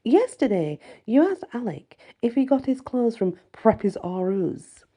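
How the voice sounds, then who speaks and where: Teenage werewolf heroine, acted by a woman: playful, smitten, animated teasing, mellow husky tone, fantasy storybook. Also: fairly high pitch, unhurried, voice pushed up, full character voice. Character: seventeen-year-old werewolf girl, playful, teasing, smitten.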